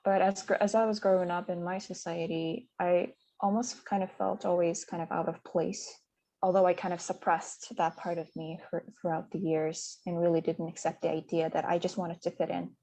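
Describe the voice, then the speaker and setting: A woman's voice: fairly low pitch, level tone, moderate pace, warm tone, rough recording. A woman from Mongolia, speaking as a conference panelist in a hesitant, reflective way with many fillers and restarts. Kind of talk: reflective personal talk.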